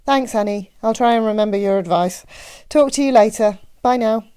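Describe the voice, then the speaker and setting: A woman's voice: fairly high pitch, normal speed, warm tone, clear, resonant tone, lightly acted. A woman voicing an older small-town man with a casual, plainspoken manner in an audiobook. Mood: easygoing. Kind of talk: audiobook character voice.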